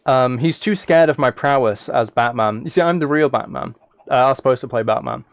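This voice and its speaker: A man chatting casually on a gaming livestream, rambling haltingly with playful, mock-serious dry humour. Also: mid-range voice, no room sound. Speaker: live streamer.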